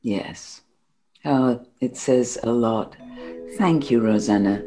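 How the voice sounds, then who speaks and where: A woman's voice: very deep voice, slow pace, mellow, faint music behind, rough recording. A woman interviewer speaking in a conversational way with her guest.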